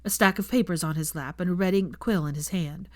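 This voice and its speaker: A woman's voice: fairly low pitch, fairly quick, no room sound. A woman narrating a story from a script as an audiobook-style reader of fan fiction.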